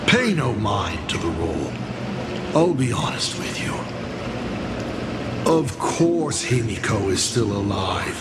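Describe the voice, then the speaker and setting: A man playing a cruel, theatrical mastermind in a video game, calm and mockingly philosophical, with a gravelly, measured delivery. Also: lowish voice, very noisy. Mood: mocking, coolly candid. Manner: measured, dramatic, deliberate. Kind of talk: video game character acting. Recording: echoey voice under loud music.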